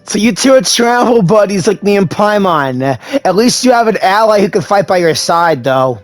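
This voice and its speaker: A woman voicing a calm, friendly young traveler in an anime game, with an easygoing, reassuring manner. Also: medium pitch, normal speed, close to an everyday voice. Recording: music behind the voice.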